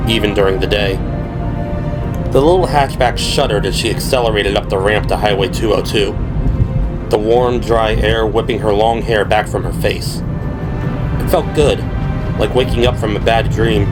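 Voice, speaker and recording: Male narrator for audio drama: lower register, measured storytelling delivery, prominent music underneath.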